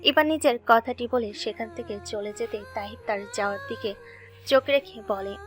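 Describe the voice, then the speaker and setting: Female narrator, slightly high voice, scripted dramatic storytelling over soft music. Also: moderate pace.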